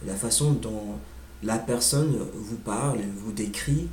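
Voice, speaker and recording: A man with an American accent, a native English speaker, slowly talking through his thoughts and searching for words with frequent fillers. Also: fairly low pitch, a little mellow, roomy sound, slight background noise. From United States. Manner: hesitant, searching for words with many "euh" fillers. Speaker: language learner sharing his experience. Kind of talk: casual monologue.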